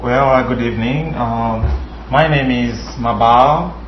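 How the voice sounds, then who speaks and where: A man's voice: mid-range voice, unhurried, recorded from a distance. A young man from Congo introducing himself earnestly and hesitantly, with many fillers, on a local TV show. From Democratic Republic of Congo. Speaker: guest, seminary student. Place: small studio with green screen. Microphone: desk mic on stand. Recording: roomy, muffled studio with hiss.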